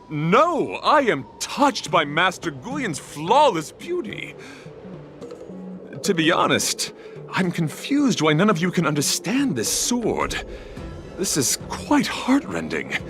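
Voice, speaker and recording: A man's voice: on the high side, exaggerated voice. A man playing a chivalrous young knight in a video game, speaking in courtly, flowery, formal phrases with earnest devotion. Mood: impassioned, sorrowful. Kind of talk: theatrical video game voice acting.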